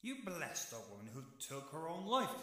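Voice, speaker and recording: Casting: male actor for grave, righteous archangel brother, declamatory audio drama style, fairly high male voice, drenched in echo. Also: wildly swooping pitch, normal speed, pushed and bright, full character voice. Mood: accusing, impassioned. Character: stern archangel brother, solemn and sorrowfully righteous. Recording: heavily echoing voice.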